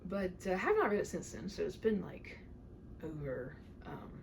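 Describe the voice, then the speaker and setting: A woman's voice: lowish voice, very animated, moderate pace, gravelly, background noise. A woman in her thirties reading a story aloud expressively, with dramatic delivery and wry, casual asides. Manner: dramatic reading, wry self-interrupting commentary. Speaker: storybook reader.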